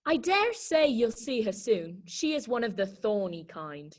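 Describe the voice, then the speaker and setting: Woman as a snooty, animated high-voiced talking flower. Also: a little slow. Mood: condescending. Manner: condescending, snippy. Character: snooty, blunt female talking garden flower. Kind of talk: children's readers theater read-aloud.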